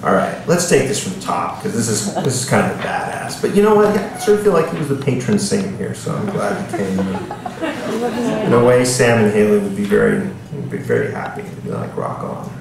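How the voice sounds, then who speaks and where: Very echoey distant recording, man with a lowish voice, live author reading, casual asides, noisy background. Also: expressive, a little fast, creaky. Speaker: author reading from own novel at a bookstore. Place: indoor bookstore reading before an audience. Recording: echoey room, distant mic, background noise.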